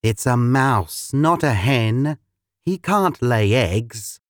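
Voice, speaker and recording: A man's voice: mid-range voice, lively intonation, drawn-out words, no room sound, studio quality. A man putting on a sneering hungry cat. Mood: snarling. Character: hungry skinny female cat, snarling and cruel.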